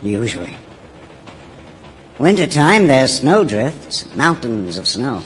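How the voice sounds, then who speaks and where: Slow, musing man. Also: mid-range voice, sing-song, a little hiss or hum. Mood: calm, wistful. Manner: terse, musing. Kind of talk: audio stage play dialogue.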